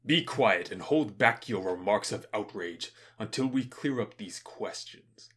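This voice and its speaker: A commanding ruler voiced by a man sits in judgment in an audio tragedy. His voice is fairly low, stern and deliberate, full of authority. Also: expressive, fairly bright tone, slightly rough, full character voice. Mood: grave, commanding. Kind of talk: modernized Shakespeare audio drama acting.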